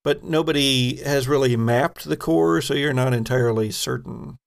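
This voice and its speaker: A man narrating a tabletop role-playing game as game master, in a measured, halting way as he thinks aloud. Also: mid-range voice, creaky.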